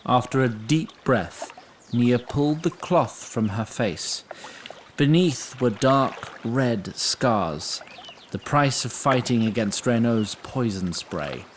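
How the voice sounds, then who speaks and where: A male storyteller of a fantasy audio drama, ornate and wry. His pitch moves widely as he drifts between grand narration and comic digression. There is clear ambient noise behind him. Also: expressive, slow. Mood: storytelling.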